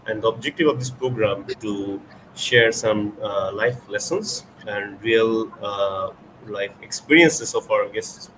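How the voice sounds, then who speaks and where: A man hosting a webinar and introducing a guest speaker in a halting, hesitant way. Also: fairly low pitch, a bit bright, rough recording. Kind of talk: semi-scripted webinar introduction. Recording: noticeable background noise.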